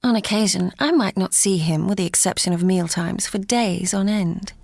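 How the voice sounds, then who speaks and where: A woman playing a sheltered, wistful young gentlewoman in a gothic audio drama, speaking in a measured, literary way. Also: medium pitch, no echo, clean studio sound. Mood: wistful, haunted. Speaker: lead actress and narrator. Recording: audio drama with clear background ambience.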